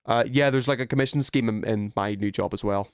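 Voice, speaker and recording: A man with a mid-pitched voice talks as a live streamer over a video game. His delivery is informal and conversational, as if thinking aloud. The sound is dry, with hardly any room in it. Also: fairly quick, clean tone, no echo. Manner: informal, thinking aloud while working out puzzles. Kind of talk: casual chat while playing a video game.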